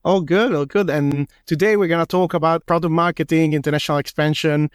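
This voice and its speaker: A male podcast host fires off his questions quickly and casually, leaning on filler words as he goes. The sound is dry and close with no room echo. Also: on the high side, fairly even intonation, clear, resonant tone. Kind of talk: interview questions.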